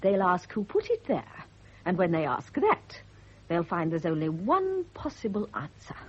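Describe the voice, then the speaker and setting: An actress playing a bitter, righteous, suspicious nurse, indignant and insinuating, in a radio drama. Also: female voice, lowish voice, huge pitch swings, measured pace, husky, exaggerated voice. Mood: righteous accusation. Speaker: private nurse. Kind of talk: 1970s radio drama acting. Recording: dull lo-fi old radio recording.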